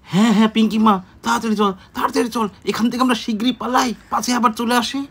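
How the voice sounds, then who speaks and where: A woman's voice: fairly high pitch, fairly even intonation, breathy edge, pitched below the performer's own voice. A woman voicing an alarmed, protective father in a doll-play story, speaking urgently. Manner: hurried, urgent.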